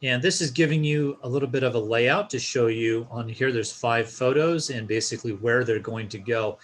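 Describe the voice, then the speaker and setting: Male tutorial presenter, medium pitch, casual and instructional, guiding viewers through an on-screen walkthrough. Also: normal speed. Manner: informal, explanatory, step-by-step.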